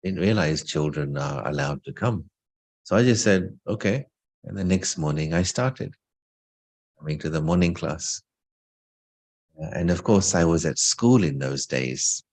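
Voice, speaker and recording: A man's voice: sits low, expressive, unhurried, pauses often, darkish tone. A middle-aged man giving an informal spiritual talk about his own life, reflective and lightly humorous. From England. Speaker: spiritual speaker. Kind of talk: informal talk sharing personal story.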